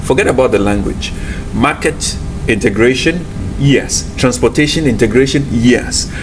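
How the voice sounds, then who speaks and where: A man's voice: mid-range voice, moderate pace, noisy background. A man from Ghana and Nigeria with a West African accent, speaking as an interview guest in an emphatic, opinionated conversational way, repeating phrases for emphasis.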